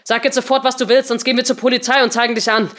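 A woman's voice: high voice, expressive, normal speed, pitched above the performer's own voice. Woman acting a fiery, exasperated woman programmer. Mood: angry, threatening. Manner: emphatic, confrontational.

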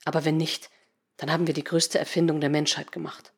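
A woman's voice: low voice, level tone, fairly quick, husky. A woman narrator voicing an irritated male programmer in an audiobook, urgent and grumbling in manner. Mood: sober.

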